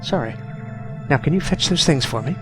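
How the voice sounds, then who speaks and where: A man playing a brisk, guarded rebel organizer in an audio drama. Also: on the high side, lively intonation, over music, close to an everyday voice.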